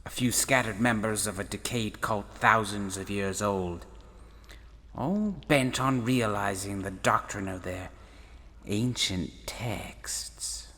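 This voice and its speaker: A man's voice: lowish voice, slow, pushed and bright. A man playing an intense, protective, scholarly professor in a sci-fi audio drama, theatrical and emphatic. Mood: measured, probing. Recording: long hall reverb, faint music behind.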